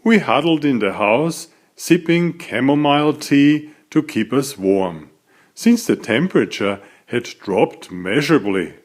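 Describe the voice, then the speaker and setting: A man reading a children's story aloud as its author, in an expressive, deliberate storytelling manner. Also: mid-range voice.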